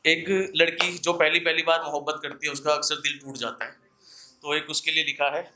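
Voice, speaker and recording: A young man recites verse as a live open mic poet. His voice sits a little high and is very sharp and forceful. He declaims his couplets, repeating lines for emphasis, and speaks to the audience in a casual way. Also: fairly even intonation, normal speed, a little hiss or hum.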